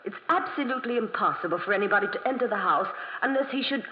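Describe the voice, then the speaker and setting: A woman's voice: on the high side, expressive, fast, close to an everyday voice. A woman playing a sweet, polite young daughter in a 1940s radio drama. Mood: sweet, earnest. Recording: muffled, echoey vintage radio recording.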